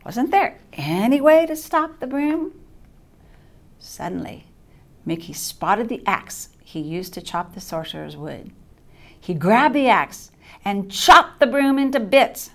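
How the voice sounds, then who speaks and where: An older woman reading a children's bedtime story aloud in an expressive, deliberate way, with dramatic emphasis. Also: medium pitch. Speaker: storybook reader, childcare coordinator. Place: small indoor set with curtain backdrop.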